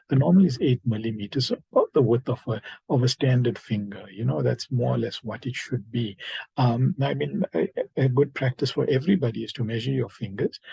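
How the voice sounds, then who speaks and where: Husky, gravelly man lecturing informally. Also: mid-range voice, warm tone, no echo, poor audio. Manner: hesitant, conversational, rambling delivery with many fillers. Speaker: medical lecturer, emergency doctor.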